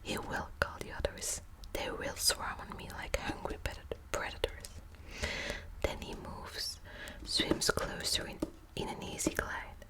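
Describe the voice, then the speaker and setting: A woman whispering close to the mic in an ASMR book video, hesitant with many ums and restarts, also reading passages aloud. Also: unhurried. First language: Dutch. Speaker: ASMR creator.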